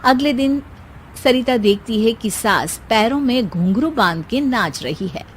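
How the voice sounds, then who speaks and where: A woman's voice: on the high side, animated, unhurried, a little hiss or hum. A woman narrator reading a scripted comic family story.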